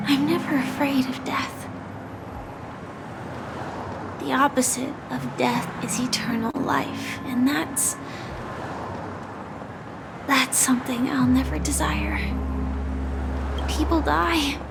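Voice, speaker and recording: A woman playing a gentle young warrior in a video game, resigned to death, speaking earnestly and with hushed, wistful deliberation. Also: sits high, slow pace, gravelly, pauses often, exaggerated voice. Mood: quiet, reflective. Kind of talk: dramatic video game voice acting. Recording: game music behind the voice.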